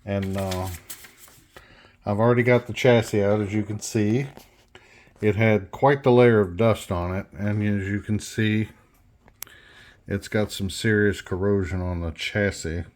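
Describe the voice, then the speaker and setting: A man giving an unscripted workbench walkthrough as a hobbyist restorer, halting and thinking aloud as he goes. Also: fairly low pitch, steady tone, darkish tone. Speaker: hobbyist restorer presenting a repair.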